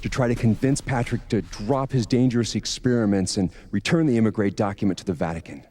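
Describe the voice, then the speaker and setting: An actor playing a stern older priest on a secret mission, speaking in a grave, measured, guarded way in a video game. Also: male voice, on the low side, mellow, a little hiss or hum, natural voice.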